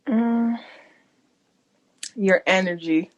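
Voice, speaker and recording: A woman's voice: medium pitch, lo-fi sound, a little hiss or hum. A young woman with a stuffy cold, chatting casually on a podcast and filling her talk with "like" and "you know.". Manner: talks slightly oddly with retainers in; halting, full of "like, you know".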